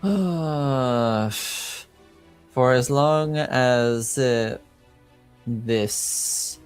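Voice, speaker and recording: A man acting as game master, improvising narration and chatting casually, feeling out his phrases hesitantly. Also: mid-range voice.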